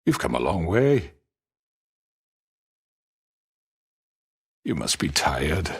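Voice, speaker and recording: A menacing video game crime lord. His voice is very deep and husky, and it glides up and down expressively. He speaks with formal, unctuous courtesy, suave but threatening. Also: very animated, heavily acted. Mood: falsely hospitable. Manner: unctuous, formal, measured politeness. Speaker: crime boss. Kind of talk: dramatic video game acting.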